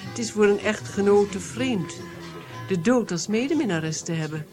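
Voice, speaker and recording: Music plays behind a haughty princess played by a woman in a radio play. She has a medium-pitched voice and talks with aristocratic teasing and a dark, insinuating edge. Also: natural voice. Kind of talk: radio drama dialogue.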